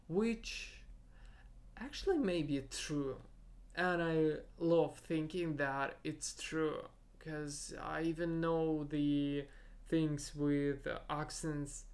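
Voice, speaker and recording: Young guy, russian native, super slow, gravelly voice, kinda halting solo podcast ramble. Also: fairly high pitch. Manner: halting, searching for words, thinking aloud. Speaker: podcast host.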